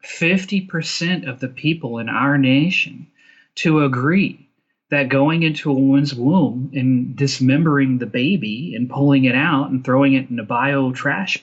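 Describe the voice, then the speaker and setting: A man's voice: medium pitch, fairly bright tone, breathy edge, highs cut off. A man talking as an interview guest in casual, opinionated conversation, emphatic and repeating phrases to drive points home. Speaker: interview guest, film director.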